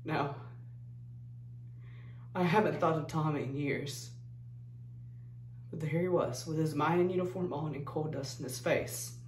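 A woman playing a plain-spoken, grieving figure, haunted and halting, in a dramatic stage monologue. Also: low voice, fairly even intonation, a little mellow, slight background noise. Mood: subdued, wistful. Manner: subdued, halting, folksy storytelling. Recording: echoey room, distant microphone.

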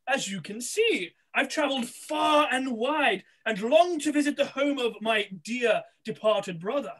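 A male pantomime villain with a high, swooping voice. He is boastful and scheming, theatrical and gloating, with a cackling streak and a sharp, bright edge. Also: expressive, unhurried, full character voice, rough recording. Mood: oily, flattering. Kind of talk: amateur pantomime read-through. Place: small room, on a video call. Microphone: computer mic on video call.

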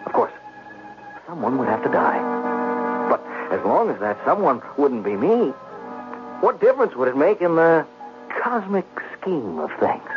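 Scheming, debt-ridden twin brother. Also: male voice, medium pitch, sing-song, a little slow, long pauses. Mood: calculating. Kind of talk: 1970s radio drama acting. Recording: muffled vintage radio broadcast, music.

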